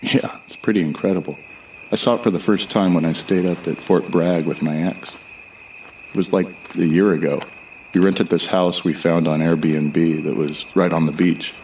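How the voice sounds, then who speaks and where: A man playing a wary, reflective traveler who narrates his own journey in measured, descriptive audio drama storytelling. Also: low voice, fairly even intonation, a little mellow, slight background noise, low-quality recording. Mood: fond recollection.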